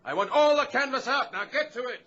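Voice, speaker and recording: Decisive ship's officer, respectful, sharp bright voice, radio drama, bit of noise. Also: male voice, extremely high pitch, animated, brisk, exaggerated voice. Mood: commanding. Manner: clipped, dutiful, respectful. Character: deferential but decisive ship's first mate. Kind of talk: old-time radio drama acting. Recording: muffled, slightly noisy vintage radio recording.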